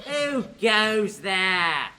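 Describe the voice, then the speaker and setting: A young woman in a student radio play voicing a dull-witted gate guard who calls out loud and slow. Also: very animated, a little hiss or hum, heavily acted. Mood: official. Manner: slow, booming.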